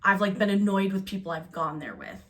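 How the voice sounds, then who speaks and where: Young woman, podcast host, chatty and animated, from upstate New York. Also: mid-range voice, a little fast. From Buffalo, New York. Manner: chatty, animated, trails off and restarts. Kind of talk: casual chat.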